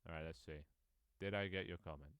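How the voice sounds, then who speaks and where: A man's voice: deep voice, fairly even intonation, fast, fairly bright tone, clear, resonant tone, no echo, clean studio sound. A man in his twenties streaming his own game, chatting casually with viewers, rambling with frequent ums and restarts. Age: 20s. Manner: rambling, lots of ums and restarts, reads chat aloud. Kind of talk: casual live-stream chat.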